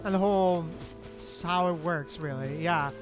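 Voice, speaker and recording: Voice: mid-range voice, normal speed. A streamer giving casual, laid-back commentary while playing a video game. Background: game music.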